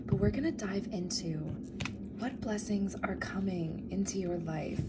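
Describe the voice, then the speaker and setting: A woman giving a gentle, affirming tarot reading, her voice worn and fading so that she often drops into a whisper. Her pitch sits in an ordinary middle range. A clear hiss of noise runs under the recording. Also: measured pace. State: losing her voice, coughing. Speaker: tarot reader. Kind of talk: pick-a-card tarot reading.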